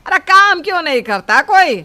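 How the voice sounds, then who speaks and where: A woman voicing a scolding, sarcastic mother of a grown son in an animated family story. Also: very high-pitched, normal speed, heavily acted. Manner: nagging, sharp-tongued.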